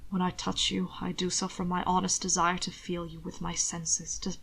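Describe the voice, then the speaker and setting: Brief: woman reading a solemn devoted man, hushed and measured, low soft voice. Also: level tone, creaky, husky, exaggerated voice, low-quality recording. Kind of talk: audiobook reading.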